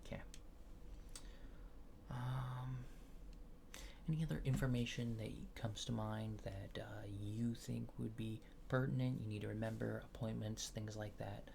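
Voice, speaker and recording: A man doing a soft-spoken, partly whispered ASMR roleplay as a calm, casual alien examiner, reassuring and hesitant in an unhurried way. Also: lowish voice, vocal fry, slight background noise.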